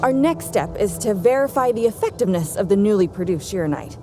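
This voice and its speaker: A woman acting as a composed female scientist in a video game. She explains things in a measured, earnest way at a middle pitch. Music plays clearly behind her. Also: lively intonation, lightly acted. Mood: intrigued, explaining. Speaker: game character.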